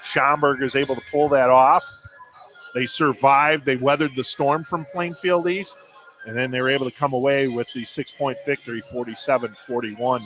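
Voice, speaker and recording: A man's voice: mid-range voice, steady tone, normal speed, fairly bright tone, soft background music, low-quality recording. A man working as a color commentator on a live basketball broadcast, talking conversationally about the play.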